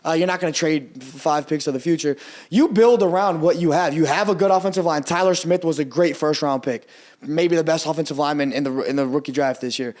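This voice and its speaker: A young man whose first language is Portuguese, co-hosting a casual sports podcast, animated and swearing freely as he breaks down his team. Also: a little high, fairly quick. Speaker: podcast co-host.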